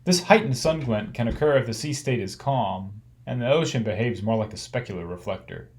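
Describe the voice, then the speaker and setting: A man with a medium-pitched voice delivers a narrated slide lecture. His manner is instructional and explanatory. He phrases each point in a measured way, as a lecturer does for a class. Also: expressive.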